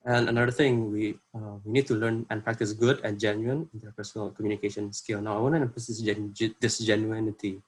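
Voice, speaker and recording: Young man, Indonesian accent, low pitch, informal online presenter, earnest, halting phrasing. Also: flat delivery, slightly rough. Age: young adult. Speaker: workshop presenter. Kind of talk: informal online workshop talk. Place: online video call.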